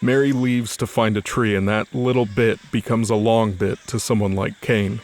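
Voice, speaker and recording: Narrator for a fantasy audio drama: male, lowish pitch, scripted storytelling. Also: steady tone, normal speed, darkish tone.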